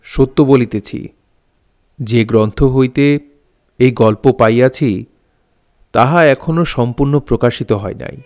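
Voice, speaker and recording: A man's voice: mid-range voice, a little slow, pauses often, a little mellow, no echo, studio quality. A man narrating an audio drama in a formal, literary storytelling manner.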